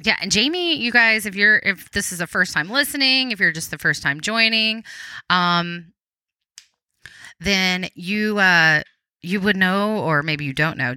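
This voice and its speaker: Bright, chatty Texan woman podcast host. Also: medium pitch, no room sound. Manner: chatty, upbeat, frequent laughs and fillers. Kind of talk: casual podcast chat.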